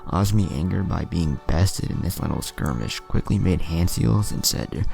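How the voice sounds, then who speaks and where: A man's voice: deep voice, expressive, moderate pace, vocal fry, mellow, soft background music. A man narrating anime fan fiction as a storyteller.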